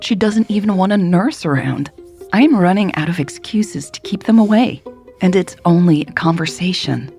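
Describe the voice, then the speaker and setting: A woman's voice: fairly low pitch, expressive, moderate pace, mellow, soft background music. A woman playing a tired, dry-witted woman, sighing and under pressure, in an audio drama. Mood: firm, dry. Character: Maya, weary dry-witted woman, pressured but loyal.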